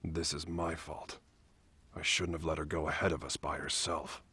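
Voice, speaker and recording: A man voicing a grave, serious fighter in an animated film dub, speaking in a solemn, deliberate way. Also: extremely low pitch, slight background noise. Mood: guilty, subdued. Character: grave, serious male fighter briefing his team. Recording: music behind the voice.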